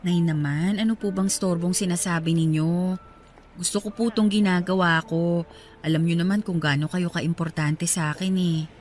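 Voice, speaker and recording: A woman's voice: fairly low pitch, fairly even intonation, normal speed, a little mellow, natural voice. A young woman acting the part of a caring grieving fiancée in a radio drama, talking in a respectful, reassuring way. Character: devoted young widowed fiancée, caring and polite.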